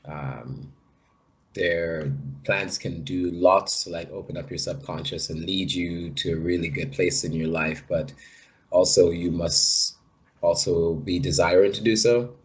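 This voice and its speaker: A man from Guyana, a shaman guest in a podcast interview, speaking hesitantly with many pauses and fillers. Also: sits low, steady tone. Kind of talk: casual interview.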